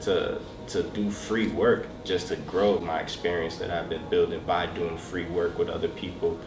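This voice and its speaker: A man's voice: deep voice, moderate pace. A young man from Massachusetts, a small business consultant, talking in a casual, streetwise way in a profile interview. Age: young adult. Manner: casual, streetwise, animated self-talk. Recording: echoey room, distant mic, loud music bed. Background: loud background music.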